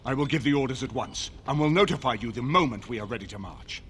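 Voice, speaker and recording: Man doing an older fantasy game lord, commanding and formal, very animated pitch, faint noise. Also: quick pace, close to an everyday voice. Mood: stern, courteous. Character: commanding, courteous older male noble lord. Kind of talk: fantasy video game dialogue.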